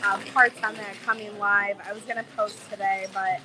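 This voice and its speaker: A woman travel vlogger with a cold, her voice a bit hoarse, chatting in a bubbly, gushing way to her live viewers from a bar. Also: medium pitch, level tone, moderate pace, noisy background. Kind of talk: casual live-stream chat with viewers. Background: music playing in the bar.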